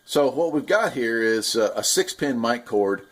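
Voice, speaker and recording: A man's voice: mid-range voice, animated, unhurried. A man giving a casual, unscripted product review, explaining things hands-on in a rambling, enthusiastic hobbyist way. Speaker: radio hobbyist reviewer and video host.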